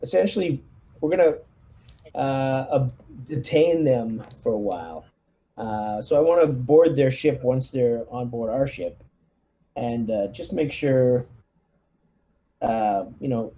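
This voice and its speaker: Man, mellow dark tone, medium pitch, halting casual roleplay, squad-leader player, faint background noise. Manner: halting, frequent throat-clearing. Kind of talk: casual in-character tabletop game talk.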